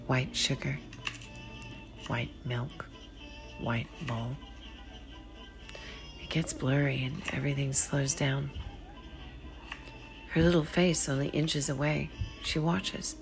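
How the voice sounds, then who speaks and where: A woman with a low, gravelly voice reads a short story over clear background music. She is very unhurried and deliberate, with a hushed, dramatic delivery that often slips toward a whisper. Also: fairly even intonation, poor audio. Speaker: author reading own short story. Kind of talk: slow, dramatic literary storytelling. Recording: music behind the voice.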